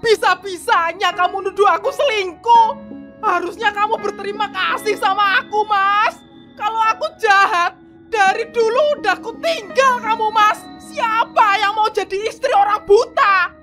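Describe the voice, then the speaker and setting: A woman's voice: very high-pitched, moderate pace, over music. A woman voicing an anxious, long-suffering young wife in a cartoon drama, speaking in a pleading, complaining way. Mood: hurt. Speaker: Hesti. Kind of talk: cartoon voice acting.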